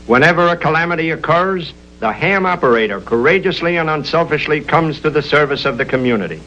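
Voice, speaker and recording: A man's voice: fairly high pitch, expressive, a little fast, pushed and bright. A man narrating in a melodramatic, earnest 1930s newsreel style, reading a script with great drama. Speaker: film narrator. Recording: muffled, very noisy old 1930s film soundtrack.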